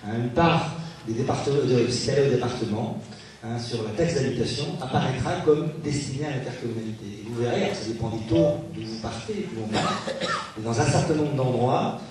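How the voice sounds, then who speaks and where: A man speaking as a local elected official at a public meeting, posing rhetorical questions and pausing hesitantly. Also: medium pitch, a little mellow. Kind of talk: political speech at a public meeting. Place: large reverberant meeting room. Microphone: distant microphone. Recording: echoey hall, distant mic, faint noise.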